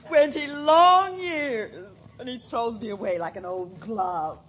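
An actress playing a woeful, self-pitying middle-aged wife with melodramatic delivery in a 1950s radio sitcom. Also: female voice, on the high side, a bit bright. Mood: tearful, wailing. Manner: woeful, drawn-out. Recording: muffled, noisy old radio recording.

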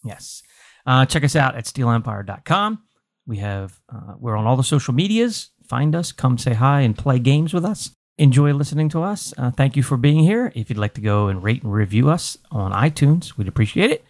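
Woman, very deep pitch, animated melody, casual hosting, joking banter. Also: moderate pace. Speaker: podcast host and player.

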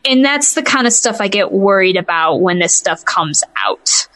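A woman's voice: mid-range voice, expressive, normal speed, fairly bright tone. A woman co-hosting a casual gaming podcast, giving opinions hesitantly and often circling back to qualify herself. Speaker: podcast co-host.

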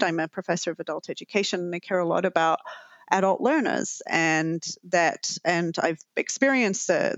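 Kiwi accent woman, lowish voice, thinking out loud in an interview, dull dry sound. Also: dry recording. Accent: New Zealand. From New Zealand. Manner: thoughtful, many restarts and fillers. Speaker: professor and interview guest. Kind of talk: interview answers.

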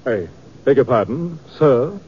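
A man's voice: mid-range voice, lively intonation, unhurried, husky. A man playing a pompous theatrical actor-manager with florid, affected, grandly dramatic delivery in a 1950s radio drama. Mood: grandiose, effusive. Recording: muffled, noisy 1950s radio recording.

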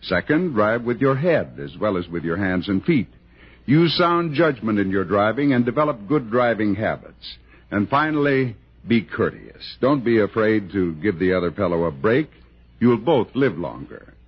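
Male American announcer for road safety spot. Low, rough-edged voice, emphatic scripted read, vintage dull radio sound. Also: very animated, moderate pace. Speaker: public service announcer. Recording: dull old radio broadcast recording.

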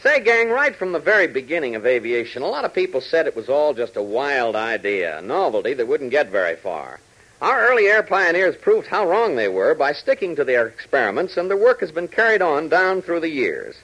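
A man's voice: a little high, very animated, brisk, fairly bright tone. An American man delivering scripted narration as a 1940s radio announcer. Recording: dull vintage 1940s radio recording.

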